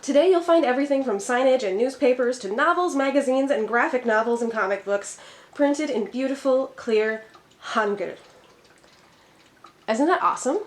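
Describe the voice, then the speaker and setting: Woman, from Minnesota, Korean tutor, scripted lesson narration, upbeat, slightly high pitch. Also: expressive, normal speed. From Minnesota, USA. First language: English.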